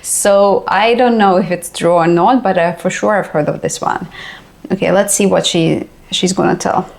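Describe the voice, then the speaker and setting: A woman from Russia with a Russian accent, hosting a casual reaction video and pausing often to search for words. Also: on the low side, steady tone, creaky. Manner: hesitant, searches for words with many pauses.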